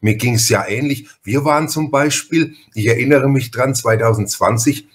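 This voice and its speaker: A man's voice: mid-range voice, normal speed. A man hosting a podcast interview in a casual, chatty and wordy way, often interrupting himself and repeating words.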